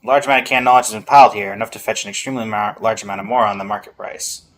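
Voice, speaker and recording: A man's voice: on the low side, steady tone, rapid-fire, fairly bright tone, slight background noise. A man giving casual gameplay commentary, reading dialogue aloud and adding offhand remarks. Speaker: game streamer.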